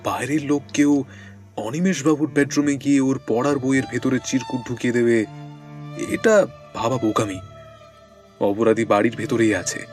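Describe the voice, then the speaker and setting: A man playing a calm, shrewd detective in an audio drama, measured and assured in his reasoning. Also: mid-range voice, soft background music, natural voice. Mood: pensive, assured.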